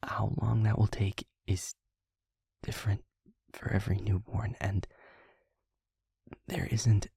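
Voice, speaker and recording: Gentle male vampire, played by a man, low and slow, soothing a partner in an intimate audio roleplay. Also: flat delivery, dry recording, clean studio sound, full character voice. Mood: gentle, reassuring. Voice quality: breathy, husky, near-whispering. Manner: halting, deliberate, reassuring.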